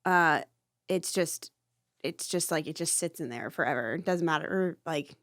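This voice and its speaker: A woman's voice: low-pitched, normal speed, fairly bright tone, no room sound, clean studio sound. A woman in her thirties, a mother and podcast co-host, chatting casually with easy laughter and rambling asides. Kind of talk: casual chat.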